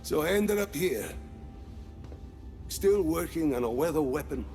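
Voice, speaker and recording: An actor playing an aging male weapons scientist in a video game cutscene, speaking in a measured, precise, explanatory way. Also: on the high side, lively intonation, gravelly, a little mellow, soft background music. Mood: calm.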